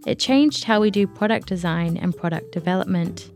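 A woman's voice: lowish voice, moderate pace, a little mellow, faint music behind. A woman reading her own feature article as a scripted narration, as a journalist.

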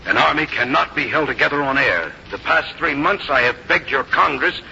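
A man's voice: a little high, expressive, moderate pace, gravelly, pushed and bright, heavily acted. A man acting a grave, commanding general in a 1950s radio drama, speaking with formal, weighty authority. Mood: earnest, formal. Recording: muffled, noisy 1950s radio recording.

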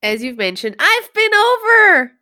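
A woman's voice: very high voice, very animated, unhurried, pushed and bright. A woman in her thirties with a slightly nasal voice, co-hosting a podcast in a playful, chatty, casual way. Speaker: podcast co-host.

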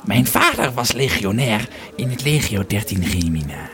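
A man acts out a grumpy old Roman soldier in an audio drama. The voice is low and gruff, and the old veteran tells his tale slowly and wearily. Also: sing-song, fairly bright tone. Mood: solemn reminiscing. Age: older. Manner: gruff, slow storytelling. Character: grumpy old Roman legionary, male, weary and wine-drinking.

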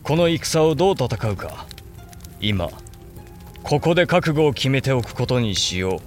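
A brooding samurai lord with a mid-pitched male voice. He speaks with grave, deliberate authority, as in a historical radio play, with music underneath. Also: very animated. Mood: brooding, resolved. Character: brooding, resolute samurai lord and elder brother. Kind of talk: Japanese period audio drama acting.

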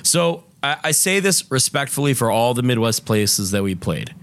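A man's voice: medium pitch, expressive, normal speed, a bit bright. A man co-hosting a podcast, chatting casually and telling loose, profane stories full of fillers. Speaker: podcast co-host. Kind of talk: casual chat.

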